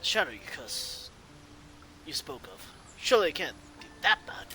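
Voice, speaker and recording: Casting: male gamer voicing his player character, fairly high and bright, animated pitch swings, halting delivery, music behind. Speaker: gameplay video creator role-playing the player character. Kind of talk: light role-play, reading game dialogue aloud in character.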